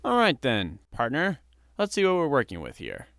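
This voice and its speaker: A man's voice: medium pitch, wildly swooping pitch, normal speed, gravelly, a bit bright, exaggerated voice. A man acting a sly, sardonic young restaurant worker in a comedic audio drama. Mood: slyly amused. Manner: wry, sarcastic, unflappable.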